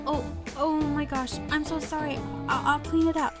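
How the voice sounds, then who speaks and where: Woman acting a flustered high-pitched heroine. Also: brisk, lightly acted. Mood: flustered, apologetic. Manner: exclaiming, dramatic. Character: young woman heroine, flustered, sulky, easily exasperated. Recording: loud music behind the voice.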